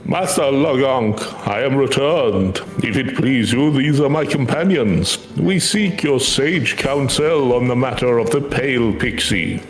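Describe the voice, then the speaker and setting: A man's voice: medium pitch, lively intonation, measured pace, few pauses. A man voicing a courteous, earnest magical familiar in a video game, speaking formally and eloquently. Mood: courteous, composed. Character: courteous, earnest male magical familiar who hunts nightmares. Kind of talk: video game quest dialogue read aloud. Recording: echoey voice over game music.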